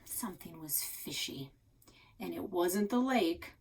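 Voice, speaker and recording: A woman narrating a children's novel aloud in an expressive storytelling style. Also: lowish voice, measured pace, creaky, breathy edge.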